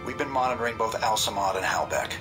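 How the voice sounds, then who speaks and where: An actor playing a stern, controlled leader of a covert intelligence cell in a video game, measured and firm. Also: male voice, lowish voice, lively intonation, music in the background, natural voice, poor audio. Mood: stern, guarded.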